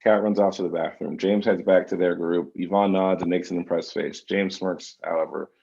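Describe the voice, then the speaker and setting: A man's voice: low-pitched, fairly even intonation, normal speed, gravelly, darkish tone, muffled recording. A man reading scripted screen directions as narrator at a table read. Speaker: stage-direction reader.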